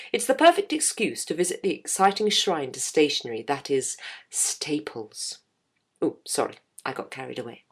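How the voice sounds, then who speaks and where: Middle-aged woman, very low voice, dry humorous read of her own blog. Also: husky. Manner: wry, self-mocking humour. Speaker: jazz singer and podcast host.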